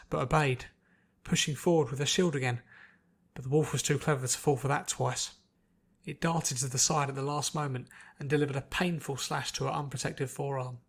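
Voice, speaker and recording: A man's voice: fairly high pitch, a bit bright, slightly rough. A man reading a scripted adventure story aloud as its narrator. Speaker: narrator, podfic reader.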